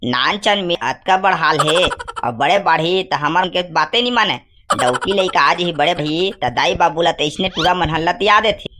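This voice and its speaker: A man's voice: fairly high pitch, moderate pace, noisy background, lightly acted. A man playing a grumbling, nagging village father in a cartoon comedy, speaking with a Chhattisgarhi accent and making threats. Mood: exasperated. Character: grumbling, scolding village father of two boys.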